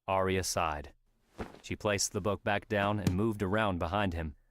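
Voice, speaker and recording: A man's voice: low-pitched, fairly even intonation, a little slow, background noise. A man reading scripted story narration as an audiobook narrator. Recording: music under the voice.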